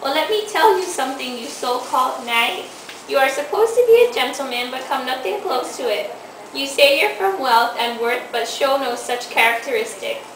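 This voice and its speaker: A bossy, stern storybook lady, acted by a young woman in a puppet show, with a high voice. The recording is echoey and distant, with a hissy background. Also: expressive, normal speed, fairly bright tone. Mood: indignant. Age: teenager. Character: queen and old hag wife, bossy, stern. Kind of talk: student puppet show acting.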